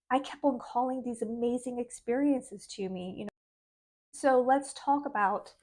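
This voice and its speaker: Soft-voiced woman, earnest and chatty. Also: a little high. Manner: earnest, chatty, laughs between thoughts. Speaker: spiritual vlogger. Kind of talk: casual talk to camera.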